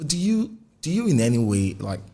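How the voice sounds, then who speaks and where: A man's voice: mid-range voice, very animated, moderate pace, dark tone. A man with a Nigerian accent conducting a conversational interview, putting and rephrasing probing questions. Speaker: interviewer, music journalist.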